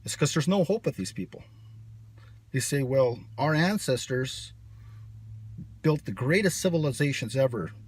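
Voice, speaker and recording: Brash, husky-voiced man. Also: mid-range voice, expressive, normal speed, long pauses. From United States. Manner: brash, sarcastic, rambling. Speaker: channel host. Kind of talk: casual vlog monologue.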